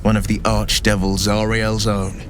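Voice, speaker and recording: An actor playing a gallant, eloquent monster hunter in a fantasy game, with a florid, measured storytelling manner. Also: male voice, lowish voice, flat delivery, a little hiss or hum, close to an everyday voice. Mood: proud, grave. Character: gallant, eloquent male monster-hunting adventurer hero.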